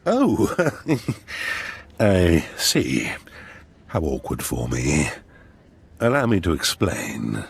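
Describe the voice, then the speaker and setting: An actor playing a fawning, cowardly noble schemer in a video game, with a husky voice and theatrical, ingratiating delivery. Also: male voice, rumbling low, huge pitch swings, extremely slow, pauses often, exaggerated voice. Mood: smug, ingratiating. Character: oily, cowardly male noble schemer, fawning and self-serving. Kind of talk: cinematic game voice acting.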